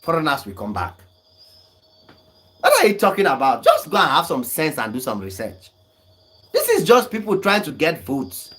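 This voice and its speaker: A man's voice: fairly high pitch, wildly swooping pitch, normal speed, pauses often, a bit bright. A young man, talking on a livestream and arguing back at viewer comments with emphatic, scolding insistence and frequent laughs. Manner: argumentative, emphatic, laughs often. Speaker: online commentator.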